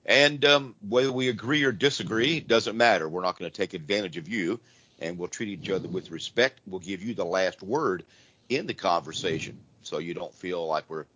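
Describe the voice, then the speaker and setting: Man, hoarse, deep voice, radio call-in host, rambling, faint background hiss. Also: normal speed, pushed and bright. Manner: conversational and rambling, with frequent restarts. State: hoarse from laryngitis. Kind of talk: casual call-in radio talk. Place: church. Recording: dull lo-fi radio audio with faint noise.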